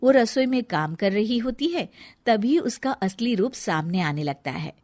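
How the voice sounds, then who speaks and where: Woman telling a cartoon story, lively pitch. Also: a little slow, no room sound. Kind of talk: scripted narration of a cartoon story.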